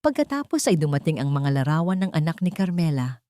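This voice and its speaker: A woman's voice: low-pitched, sing-song, brisk, dark tone, no room sound, clean studio sound. A woman narrating a dramatic scripted story.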